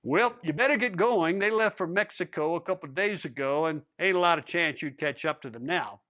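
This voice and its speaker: A man's voice: fairly high pitch, brisk, pushed and bright. A man voicing a sly old-west male rancher with a folksy who dodges questions. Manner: folksy, dry, evasive drawl.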